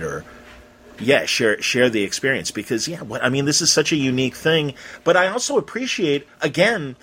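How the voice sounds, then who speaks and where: Husky American man, informal interviewer. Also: medium pitch, fairly bright tone. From Chicago, United States. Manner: informal, rambling, musing. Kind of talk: casual conversational interview.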